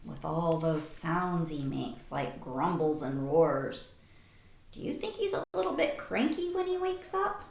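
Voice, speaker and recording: Animated, unhurried woman reading to children. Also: sits low, creaky. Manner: animated, simple child-directed phrasing. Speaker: teacher reading aloud to young children. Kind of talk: expressive picture-book read-aloud with questions. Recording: echoey room.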